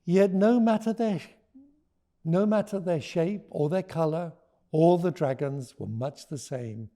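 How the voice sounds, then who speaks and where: A man reading a children's storybook aloud and talking about it, with deliberate, expressive phrasing. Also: a little high. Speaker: children's author reading his book aloud. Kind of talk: expressive storybook read-aloud with teaching notes.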